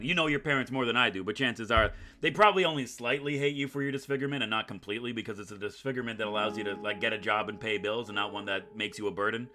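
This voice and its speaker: Wry male gamer over guitar music. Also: medium pitch. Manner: wry, sarcastic, self-mocking joker. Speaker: gaming YouTuber, host of a playthrough. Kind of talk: let's play commentary with read-aloud game text and joking asides. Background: acoustic guitar game music.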